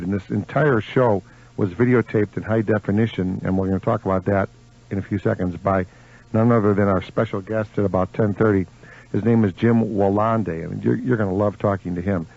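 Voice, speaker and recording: A man's voice: lowish voice, a little mellow, husky. An American man hosting a live local radio show in a folksy, rambling way, repeating phrases for emphasis. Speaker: radio host. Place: radio studio. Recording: muffled lo-fi AM radio recording.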